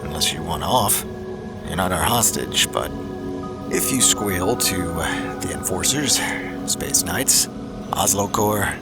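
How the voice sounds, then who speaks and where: A man's voice: sits low, animated, slow pace, long pauses, over loud music, exaggerated voice. A man playing a hard-bitten, commanding starship captain in a sci-fi audio drama, stern and world-weary.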